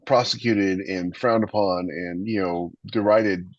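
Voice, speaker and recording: A man's voice: deep voice, steady tone, unhurried. A man hosting a podcast about writing science fiction, chatting casually about stories. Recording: slightly roomy, dull muffled sound.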